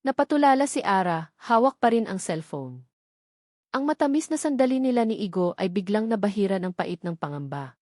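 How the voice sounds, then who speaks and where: Female Filipino story narrator, dramatic romance read, mid-pitched, dry sound. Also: expressive, brisk, darkish tone, no echo.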